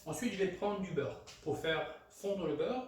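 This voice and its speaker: A man's voice: high-pitched, animated, normal speed. A man from France hosts a casual cooking tutorial, hesitant and full of fillers and restarts. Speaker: home cook, channel host. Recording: very echoey room, mic far off, clear background noise.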